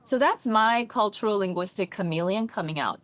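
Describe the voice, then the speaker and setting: A woman's voice: medium pitch, brisk. A middle-aged woman from China with a slightly American-leaning English accent, a therapist and coach speaking thoughtfully and animatedly as an interview guest. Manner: thoughtful, animated, often checks in with "right?". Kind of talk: reflective interview conversation.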